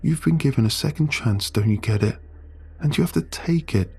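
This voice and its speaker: A man's voice: mid-range voice, expressive, normal speed, a little mellow, slightly rough, voice pushed up. A man voicing an earnest teenage boy who pleads urgently with a friend. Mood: pleading.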